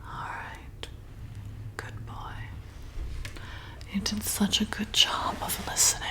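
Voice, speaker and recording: Woman playing a slow, whispering, hypnotic vampire seductress. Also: lightly acted. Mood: coaxing, praising. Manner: soothing, coaxing, slow and repetitive. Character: flirty, hypnotic, hungry female vampire bartender. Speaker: ASMR roleplay performer. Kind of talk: whispered ASMR roleplay.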